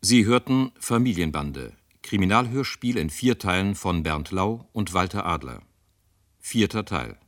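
Deep-voiced male radio announcer, dry studio. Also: lively intonation, a little slow, no room sound. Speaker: radio announcer reading credits. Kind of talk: formal scripted announcement.

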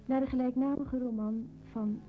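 A woman's voice: high-pitched, steady tone, normal speed. A woman reading a scripted announcement as a radio drama announcer. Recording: tinny narrowband old radio recording.

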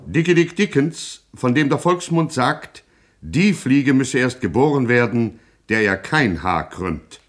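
An actor playing a mock-solemn, pompous narrator of a satirical gangster radio play. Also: male voice, medium pitch, animated, measured pace, natural voice. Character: grandly ironic male narrator of a gangster satire.

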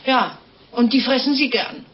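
Lively, high-voiced innkeeper's wife, chillingly matter-of-fact. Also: female voice, measured pace. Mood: breezy, self-justifying. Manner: matter-of-fact, guileless, chatty. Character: French innkeeper's wife calmly confessing murders. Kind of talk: 1950s German radio drama acting. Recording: dull, noisy old radio recording.

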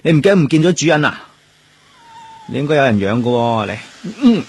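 An actor with a Hong Kong Cantonese accent playing a lonely, grieving, gentle police detective in a radio drama. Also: male voice, mid-range voice, big pitch swings, fairly quick, slightly rough. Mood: playful, coaxing. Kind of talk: radio drama, narrated inner monologue and dialogue. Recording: music under the voice. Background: background music.

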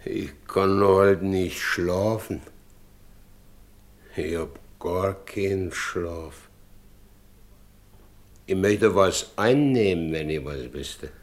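An actor playing a brooding, weary working-class husband in a radio drama. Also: male voice, low-pitched. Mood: weary, resigned. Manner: slow, heavy, rustic. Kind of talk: naturalistic German radio drama acting.

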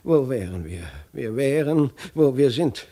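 An actor in a 1950s German radio drama playing a weary, gentle old emperor and father, musing and hesitant. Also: medium pitch, animated, unhurried, close to an everyday voice. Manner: musing, hesitant, mildly reproachful.

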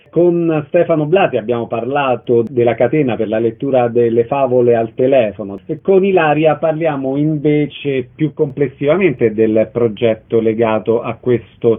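A man's voice: mid-range voice, phone-quality sound. A man hosting a radio interview, introducing and thanking guests. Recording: thin, band-limited radio sound.

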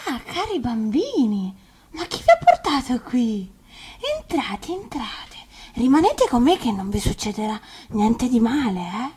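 An actress voicing a wicked old witch who lures and threatens children, drawing her words out in a mocking, croaking sing-song for a radio fairy tale. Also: fairly high pitch, sing-song, measured pace, darkish tone, a little hiss or hum. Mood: coaxing. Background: guitar music.

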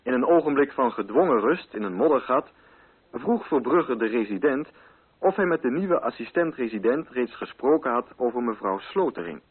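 A man's voice: mid-range voice, lively intonation, normal speed, husky, phone-quality sound. A man narrating a literary radio drama from a script. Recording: band-limited radio recording.

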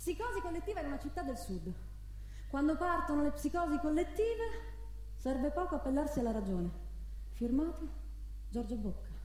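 A woman's voice: sits high, sing-song, moderate pace, long pauses. A woman acting a defiant townswoman who declaims with rhetorical force in a theatrical radio play, with music behind her. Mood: ironic, declamatory. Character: defiant townswoman proclaiming and reading statements. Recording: very echoey stage with loud music. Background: live music.

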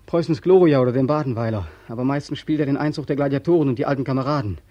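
An actor as a husky, weary, haunted soldier. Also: male voice, medium pitch, rapid-fire, warm tone, no room sound, dull audio, natural voice. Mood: feverish. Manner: weary, pleading, obsessive, repeating himself. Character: weary, haunted ex-soldier back from war, insistent. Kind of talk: 1940s German radio drama acting.

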